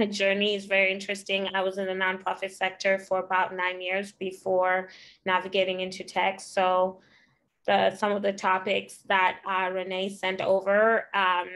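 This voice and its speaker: A woman from Kenya introduces herself on a video call in a steady voice that barely rises or falls. She hesitates often and feels her way through each thought. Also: medium pitch. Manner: halting, with many pauses and "um". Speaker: panelist. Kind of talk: self-introduction on a panel. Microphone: laptop camera on a video call.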